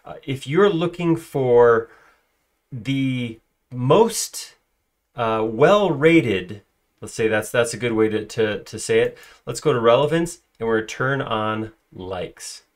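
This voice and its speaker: A man's voice: mid-range voice, animated, slow pace. Male tutor, conversational screencast delivery, mid-range voice, loose and unscripted. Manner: conversational, filler-heavy, self-correcting. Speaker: software trainer. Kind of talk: screen-recorded software tutorial.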